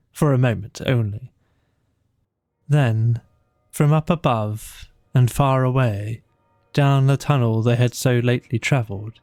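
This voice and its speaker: A male audiobook narrator reads a bedtime story. He goes very slowly, in a mellow voice with some gravel in it. The recording is close and dry. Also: medium pitch, no echo.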